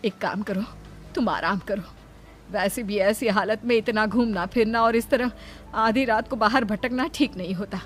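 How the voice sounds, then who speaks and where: A woman performs a sixty-year-old aunt from a spooky cartoon story. She is concerned and easily startled, questioning in an anxious, motherly way at a medium female pitch, with soft music underneath. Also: moderate pace, close to an everyday voice. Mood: motherly, cautioning. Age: 60s.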